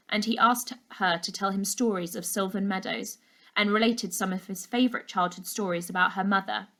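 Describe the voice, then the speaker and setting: Female schoolteacher, mid-pitched, for expressive children's storybook read-aloud. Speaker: primary school teacher reading a class book aloud.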